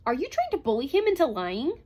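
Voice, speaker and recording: A woman's voice: high voice, wildly swooping pitch, fairly quick, darkish tone, voice pushed up. A woman voicing a stiff, anxious senior male scientist with a formal manner in a story reading.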